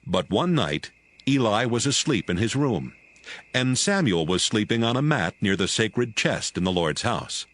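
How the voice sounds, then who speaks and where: A man's voice: fairly low pitch, sing-song, unhurried, a bit bright, no room sound. A man narrating a dramatized scripture reading from a script, as the storyteller between acted parts.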